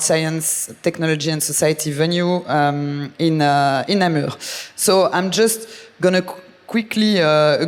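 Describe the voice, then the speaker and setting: A man with a Belgian French accent, an artistic director giving a conference presentation with frequent hesitant fillers. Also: on the high side. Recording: reverberant hall.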